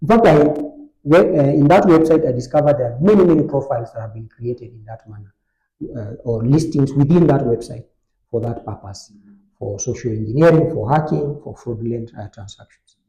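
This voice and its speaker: A man on a podcast, explaining an online scam step by step in a relaxed conversational way, with frequent fillers. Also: medium pitch, fairly quick, roomy sound, low-quality recording. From Kenya. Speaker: technology expert on a podcast. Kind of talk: conversational storytelling.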